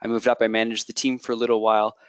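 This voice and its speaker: A Canadian man in his thirties, a product manager walking through a software presentation, explaining at length with frequent fillers, restarts and a checking "okay". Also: lowish voice, flat delivery, racing, clear, resonant tone, dry recording.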